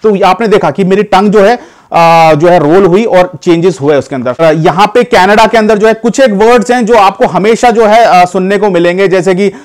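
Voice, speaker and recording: A man from India talks straight to his audience in an easygoing vlog, his voice sitting noticeably high. He rambles a little, circling back with fillers and restarts, and keeps calling his viewers "guys". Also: normal speed. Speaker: vlogger giving advice. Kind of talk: casual talk to camera.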